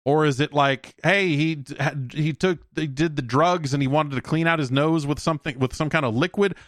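A man's voice: on the high side, normal speed, a bit bright, dry recording. A man in his late forties hosting a podcast alone, rambling casually with frequent swearing and self-deprecating comic asides, close on the microphone. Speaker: podcast host.

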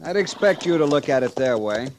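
Young male army lieutenant, played by an actor, American, mid-pitched, stiff and earnest, old radio western with faint noise. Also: animated, a little fast, a little mellow, natural voice. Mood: disapproving. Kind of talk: vintage radio western acting.

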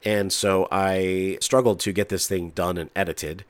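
A low-pitched man with a stuffy, nasal voice from a head cold hosts a chatty tech podcast. He talks freely and loosely, circling back on his own sentences. The recording is dry and close, with no room around him. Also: fairly even intonation, unhurried, no echo. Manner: chatty, talks a lot with restarts. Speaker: podcast host. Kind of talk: casual podcast chat.

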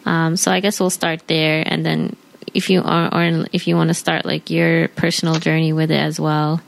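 A woman's voice: low-pitched, flat delivery, normal speed, creaky, rough recording. An American woman from New York hosting a podcast, chatting in an informal, slangy way. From New York, United States. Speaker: podcast host. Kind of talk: casual podcast chat.

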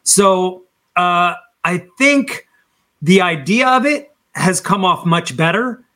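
A man's voice: high voice, expressive, slow pace, bright, forceful tone. A man speaking as an interview guest in a casual, enthusiastic and informal conversational style. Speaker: podcast guest, band singer.

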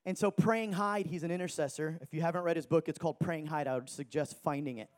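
Man, thirties, high voice, energetic preacher, joking, emphatic shouts. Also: fast. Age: 30s. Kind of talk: sermon.

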